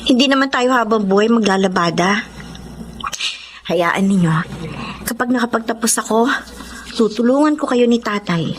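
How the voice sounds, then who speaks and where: A woman acts a hopeful Filipino schoolgirl, the caring eldest daughter in a radio drama. The voice is high and eager, full of earnest feeling. There is faint noise in the recording. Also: animated, moderate pace, long pauses, natural voice. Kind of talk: Tagalog radio drama acting.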